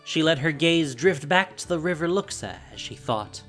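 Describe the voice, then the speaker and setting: A woman narrates a fantasy audio drama in a low register. Her delivery is theatrical and measured, with lively swings of intonation, over a faint music bed. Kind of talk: dramatic scripted story narration.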